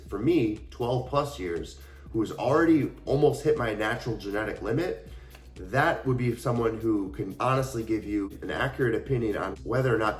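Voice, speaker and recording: Stuffy-voiced man in an echoey room. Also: fairly low pitch. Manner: earnest, explanatory, addresses viewers directly. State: stuffy from a cold. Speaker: fitness coach and YouTuber. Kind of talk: casual talk to camera.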